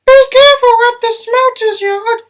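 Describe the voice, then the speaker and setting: A man's voice: extremely high pitch, fairly even intonation, moderate pace, a bit bright, faint music behind, voice pushed up. A man voicing nervous, timid talking steam engines from a children's storybook, in an exclamatory manner. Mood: worried warning.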